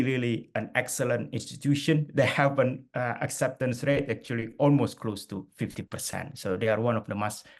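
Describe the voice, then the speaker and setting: A man's voice: mid-range voice, vocal fry. Hesitant man presenting over a video call. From Indonesia. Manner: hesitant, many fillers and restarts. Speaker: guest speaker. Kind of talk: webinar presentation.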